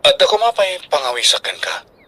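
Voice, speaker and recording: A man's voice: sits high, very animated, brisk, bright, forceful tone, dry recording, heavily acted. An actor with a northern Philippine accent playing a shy, lovestruck male student, hesitant and pleading, in a radio drama.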